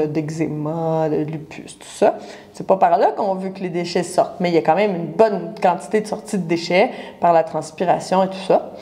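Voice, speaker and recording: A woman's voice: mid-range voice, warm tone. A woman in her forties with a Quebec French accent, a naturopath giving a casual live talk and speaking straight to the viewer with everyday comparisons. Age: 40s. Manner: chatty, addresses the viewer directly, explains with everyday comparisons.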